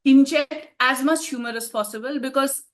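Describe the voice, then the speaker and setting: A woman's voice: high-pitched, normal speed. A woman, an author answering interview questions in a reflective way and laughing often. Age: 50s.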